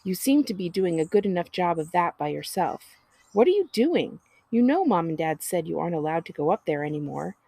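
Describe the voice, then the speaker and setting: Woman voicing a bossy, husky teenage big sister. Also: on the low side, sing-song, brisk, dark tone, no echo, exaggerated voice. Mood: impatient. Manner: scolding, exasperated. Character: impatient, bossy, sardonic teenage older sister. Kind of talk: children's storybook character reading.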